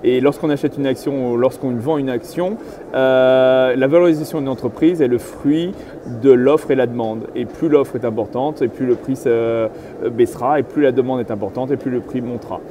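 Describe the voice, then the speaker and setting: Middle-aged man, medium pitch, explanatory interview answers, consultant, clearly noisy urban background. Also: moderate pace. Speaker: real estate agent and consultant being interviewed.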